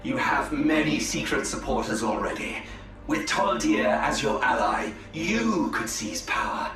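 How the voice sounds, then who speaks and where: An actor playing a cunning Eldar conspirator who speaks in a smooth, persuasive way. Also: male voice, mid-range voice, animated, unhurried, bright, forceful tone. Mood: conspiratorial. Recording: echoey, distant game audio with music.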